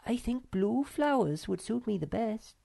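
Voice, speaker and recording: A vain, sweet young creature girl who talks high and breezy, as a woman reader puts her on for a storybook. The voice sits far above the reader's own, recorded dry and close. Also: animated, unhurried, creaky, mellow, dry recording, heavily acted. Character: vain sweet young female Snork Maiden. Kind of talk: audiobook character voice.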